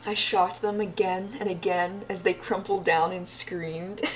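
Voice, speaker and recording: A woman's voice: fairly low pitch, fairly even intonation, slow pace, noisy background. A woman playing a deranged, obsessive yandere captor in an audio roleplay diary, sadistic yet childlike, speaking in a dreamy, intimate manner and lingering on words. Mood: confessional. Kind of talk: audio roleplay monologue, recorded diary confession.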